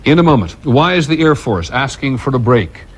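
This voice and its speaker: Measured male news anchor. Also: medium pitch, expressive, breathy edge. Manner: measured, authoritative delivery. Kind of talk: scripted news reading.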